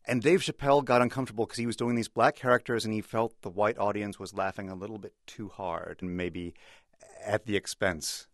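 A man's voice: fairly low pitch, fairly even intonation, a little fast, no room sound. American male interviewer, dry studio sound. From United States. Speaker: radio interviewer. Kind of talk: interview questions and scripted introduction.

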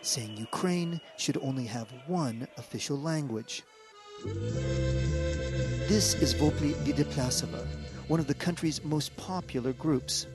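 A man reading a scripted news report as a foreign correspondent, in a measured, even way. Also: medium pitch, music in the background.

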